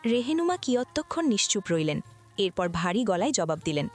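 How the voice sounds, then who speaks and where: A woman's voice: mid-range voice, very animated, a little fast, darkish tone. Woman narrating a story over music. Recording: music behind the voice.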